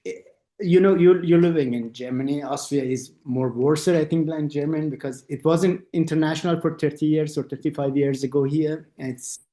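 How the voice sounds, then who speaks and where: A middle-aged man, an architect being interviewed, speaking halting non-native English with many restarts and acting out the voices in his stories. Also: a little high, fairly even intonation, mellow, roomy sound. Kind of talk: conversational interview. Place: lives in Austria.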